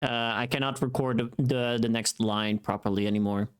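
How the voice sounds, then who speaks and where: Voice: medium pitch, steady tone, normal speed, clear, resonant tone. A livestreamer with a tired voice, chatting casually in a rambling, informal and mildly sweary way, close to the microphone. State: tired voice from long recording.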